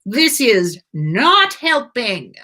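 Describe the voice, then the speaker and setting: Woman acting an indignant young man, high-pitched. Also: very animated, very slow, sharp tone, exaggerated voice. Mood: annoyed, defensive. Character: defensive, annoyed young man schemer.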